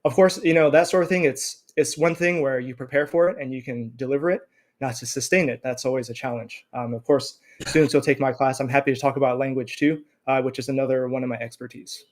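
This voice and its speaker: An American man in his thirties, a scholar answering interview questions in a friendly, measured way. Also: mid-range voice, fairly even intonation. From United States. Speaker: guest scholar.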